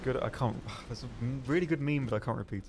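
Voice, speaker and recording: A man's voice: medium pitch, fast talker, gravelly, a little mellow, slight background noise. A man co-hosting a game livestream, chatting casually in a hesitant, rambling and self-deprecating way. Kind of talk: casual chat.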